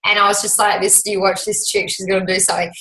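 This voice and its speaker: A woman's voice: medium pitch, level tone, sharp tone. A woman hosting a group video call, gushing and upbeat as she cheers people on and introduces a guest. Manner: bubbly, gushing, fast asides. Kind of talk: casual motivational chat. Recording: echoey room.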